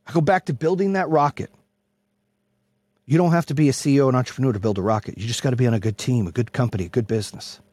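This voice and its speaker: Guy doing a pushy motivational rant, rough husky voice, sweary, repeats himself for punch, really dry sound. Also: medium pitch, fast, darkish tone, dry recording. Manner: brash, profane, emphatic, repeats phrases for punch. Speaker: motivational speaker and host. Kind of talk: motivational monologue.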